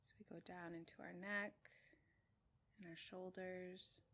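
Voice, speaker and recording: A woman meditation teacher leading a guided meditation in a gentle, measured way, with long pauses between short phrases. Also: on the low side, level tone. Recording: clearly noisy with room ambience.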